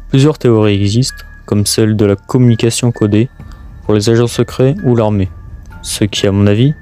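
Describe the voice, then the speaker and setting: A man reading a scripted documentary narration as presenter, in a halting way with stumbles. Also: medium pitch, darkish tone. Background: music.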